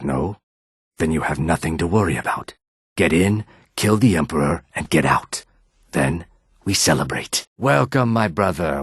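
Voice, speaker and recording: A man voicing a reptilian Argonian assassin and outlaw in a video game, with a rasping, husky voice. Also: a bass voice, a bit bright, no room sound, studio quality, heavily acted. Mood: calm, cautionary, then welcoming. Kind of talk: video game character dialogue.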